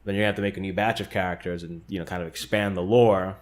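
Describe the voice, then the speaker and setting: A man's voice: sits low, steady tone, rapid-fire. A man co-hosting a casual fan discussion, talking in an informal, conversational way. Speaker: podcast co-host.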